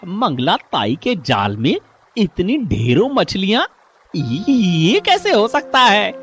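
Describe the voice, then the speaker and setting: Man playing a sly, greedy fisherman, very high voice, slow deliberate delivery, faint hiss. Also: fairly bright tone, exaggerated voice. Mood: astonished. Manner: taunting, then panicky cries for help. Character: greedy, mocking male village fisherman. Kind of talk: cartoon story voice acting.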